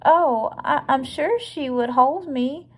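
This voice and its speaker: A woman's voice: high voice, takes it slowly, voice pushed up. A woman voicing a small, lonely young male bird in a storybook, plaintive and pleading as he searches for a mother. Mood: sad, pleading.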